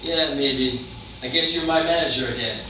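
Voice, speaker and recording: A man's voice: a little high, moderate pace, natural voice. A man playing a tough, world-weary champion boxer, bitter and defiant, in a staged play reading. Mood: guarded. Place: large echoey room, live staged reading. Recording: very echoey room, distant mic, faint noise.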